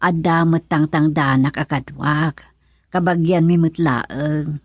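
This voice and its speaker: A woman's voice: sits low, steady tone, slow pace, slightly rough, dry recording, clean studio sound, full character voice. A woman playing a kindly, caring rural mother in an Ilocano radio drama, with a Filipino accent. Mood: apologetic, caring.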